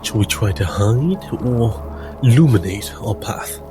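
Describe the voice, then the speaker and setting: A man's voice: lowish voice, animated, slow pace. A man playing a tabletop roleplaying game, speaking in formal, articulate character and narrating his own actions with theatrical flair. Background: music under the voice.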